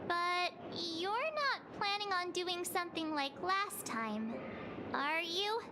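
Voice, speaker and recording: A woman's voice: very high voice, expressive, slow pace, in a large hall, noisy background, heavily acted. A woman voicing a bubbly, friendly teenage girl adventurer in an anime game. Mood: bright, curious.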